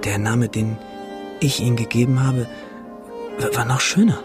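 An actor playing a lovestruck young male student who tells his story in hushed, hesitant literary narration for a radio play. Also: medium pitch, fairly even intonation, normal speed, lightly acted. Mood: hesitant, yearning. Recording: radio drama production with music behind.

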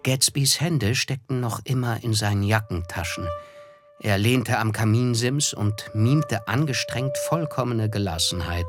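A man's voice: fairly low pitch, unhurried. A man reading literary, scripted narration as the narrator of a radio play. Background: music.

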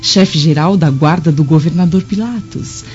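A dull radio drama recording with music underneath. An actress plays a proud, flirtatious slave woman with a low, mellow voice. She is cool, sly and pointedly teasing. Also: moderate pace, lightly acted. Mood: coolly flirtatious.

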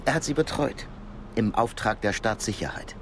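Casting: male actor as calm, knowing secret-service contact, lowish voice, measured radio drama delivery over ambient noise. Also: lightly acted. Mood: guarded, matter-of-fact. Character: discreet male Cold War intelligence contact, calm and knowing. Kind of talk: naturalistic German radio drama acting.

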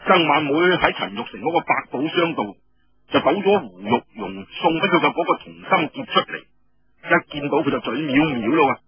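A man's voice: a little high, animated, moderate pace, vocal fry, breathy edge. A man with a Cantonese accent telling a story in dramatic traditional radio style, theatrical and colloquial. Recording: muffled, roomy radio-style recording.

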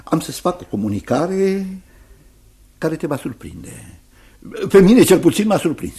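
An actor playing a fussy, kindly older guardian in a radio drama, deliberate and hemming as he talks. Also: on the high side, big pitch swings, creaky, dark tone. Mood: hesitant, deliberate. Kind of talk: Romanian radio theatre acting.

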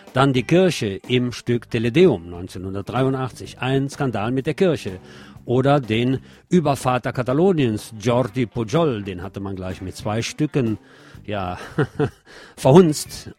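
A man's voice: fairly low pitch, a little mellow. Wry man presenting over music. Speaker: radio show host. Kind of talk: scripted magazine commentary with spoken asides. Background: music under the voice.

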